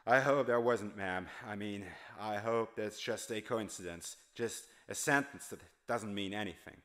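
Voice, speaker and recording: A man playing a weary homeless former factory worker in an audiobook, confessing his memories in a halting, solemn way. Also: fairly low pitch, fairly bright tone. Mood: hesitant, uneasy. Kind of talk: dramatized audiobook monologue.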